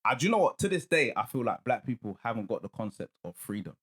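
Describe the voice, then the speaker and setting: Earnest man with a bright voice. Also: mid-range voice, big pitch swings, fast, slightly rough. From England. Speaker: guest. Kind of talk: casual chat.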